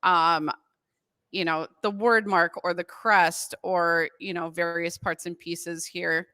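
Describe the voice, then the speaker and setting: Brief: woman designer, lower, bright voice, dry close sound, casual with ums. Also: fairly even intonation, slow, smooth, dry recording. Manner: frequent ums, casual and upbeat. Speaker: brand designer presenting. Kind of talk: presentation on a live stream.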